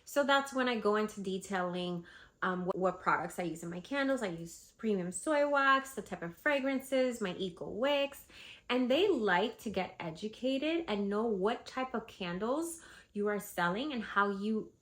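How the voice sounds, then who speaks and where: A woman's voice: mid-range voice, moderate pace. A woman with a stuffy, congested voice from a cold, chatting casually as she shares tips on selling at vendor events. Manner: chatty, animated, lots of fillers. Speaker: vlogger and candle seller. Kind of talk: casual advice vlog.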